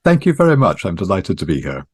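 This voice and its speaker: Deep-voiced male academic guest, dry sound. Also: wildly swooping pitch, fast, slightly rough, no echo. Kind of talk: polite interview conversation.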